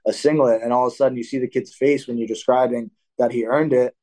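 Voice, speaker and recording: A young man in a casual interview, speaking at an ordinary middle pitch. He explains coaching kids' wrestling in long, looping sentences, stopping to correct himself. His voice lifts when he acts out practice moments. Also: fast talker. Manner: rambling, self-correcting, animated when acting out drills. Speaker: wrestling coach and athlete, guest.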